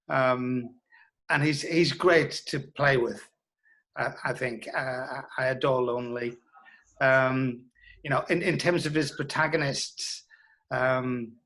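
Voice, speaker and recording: A man answering as an interview guest, reflecting in a thoughtful, halting and emphatic way. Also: mid-range voice, fairly bright tone.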